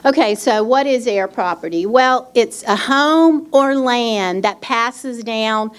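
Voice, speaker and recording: A woman's voice: fairly high pitch, slow, clean tone. A middle-aged woman presenting a legal aid workshop at an expo, explaining in a chatty way with many fillers, speaking into a handheld microphone. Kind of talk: public workshop talk. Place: expo hall.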